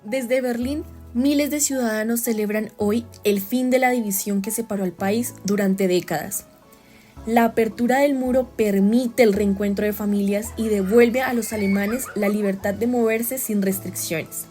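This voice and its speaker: A woman's voice: mid-range voice, moderate pace, warm tone, soft background music, lightly acted. A woman playing a solemn radio news reporter, reading a scripted bulletin in a formal, declarative manner. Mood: solemn, proud. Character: solemn female radio news reporter announcing historic events.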